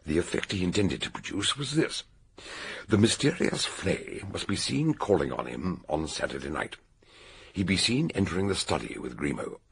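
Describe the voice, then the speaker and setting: An eccentric old British detective from a radio mystery. His voice is deep and thoroughly rough, full of fry and husk. He explains deliberately and theatrically, with lively rises and falls. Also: full character voice. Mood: assured, expository. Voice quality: gravelly, husky. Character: eccentric older male detective expounding a murder solution. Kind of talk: radio detective drama acting.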